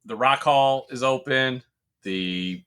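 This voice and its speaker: A man's voice: mid-range voice, slow pace, smooth. A middle-aged man chatting casually as a podcast co-host, rambling and swearing freely as he tells stories. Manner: rambling, profane, animated storyteller. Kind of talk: casual chat with friends.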